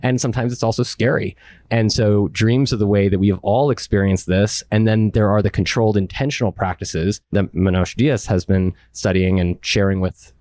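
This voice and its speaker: A man's voice: lowish voice, fairly even intonation, normal speed, a little mellow, no echo. A man moderating a panel, explaining ideas eagerly and framing long questions for his guest.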